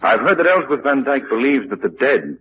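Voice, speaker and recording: An actor playing a suave, inquisitive wealthy amateur sleuth in a 1940s radio drama. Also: male voice, mid-range voice, very animated, brisk, natural voice. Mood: calm, curious. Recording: dull, hissy 1940s radio recording.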